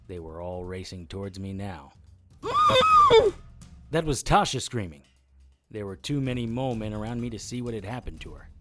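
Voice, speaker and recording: A man performs a defiant, hard-boiled investigator narrating an audio drama. His voice is on the low side and matter-of-fact as he tells of battle. Soft music runs behind him. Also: lightly acted. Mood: tense. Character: tough, defiant male private investigator, sword-fighting monster hunter.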